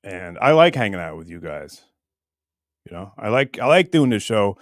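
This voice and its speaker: A middle-aged man hosting a livestream with casual, rambling chat, blunt and crude in his joking, going between muttering and loud bursts. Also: on the low side, big pitch swings, moderate pace, no room sound, clean studio sound. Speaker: livestream show host.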